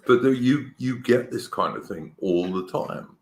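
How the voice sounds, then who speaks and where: A man's voice: medium pitch, unhurried, a little hiss or hum. A man co-hosting a casual political chat, opinionated and rambling, often restarting his sentences. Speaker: podcast co-host. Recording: echoey room.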